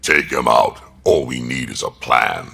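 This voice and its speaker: A battle-hardened male alien warrior from a video game, speaks in a rock-bottom, gravelly voice with a hard, forceful edge. He is blunt and ruthless, clipping his words like a soldier. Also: lively intonation, a little slow, heavily acted. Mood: grim, ruthless. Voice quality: very deep, gravelly.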